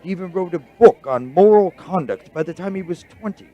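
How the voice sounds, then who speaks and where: An actor playing an excitable, bookish male scholar in a radio drama, with a husky voice and a halting, explanatory delivery. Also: high-pitched, muffled recording. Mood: excited.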